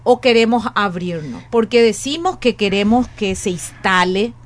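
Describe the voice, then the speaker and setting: A woman in her late forties with a Paraguayan Spanish accent speaks as a guest in an interview. She talks earnestly and thinks aloud, restarting and repeating her phrases. Her voice sits at a middle pitch. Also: animated, normal speed. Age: 40s. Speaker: education academic, guest. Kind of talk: conversational interview.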